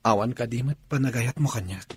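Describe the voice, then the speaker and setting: A man acting a lovelorn young suitor, earnest and pleading, with a rough voice in a radio drama. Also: medium pitch, quick pace. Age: young adult. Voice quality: rough, husky.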